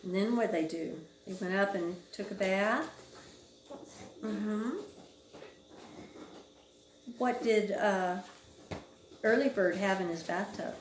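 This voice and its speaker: A woman with a mid-range voice talks a small child through a picture book. She speaks casually, in short prompting questions and simple remarks. It is an amateur home recording, with clear room echo and slight background noise. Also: medium pitch, slow pace, long pauses. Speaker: mother reading with her young child. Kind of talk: casual read-along chat with a small child.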